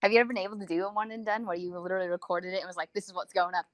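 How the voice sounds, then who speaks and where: A woman's voice: lowish voice, flat delivery, rapid-fire, dry recording. A woman interviewing on a podcast in a casual, bantering way.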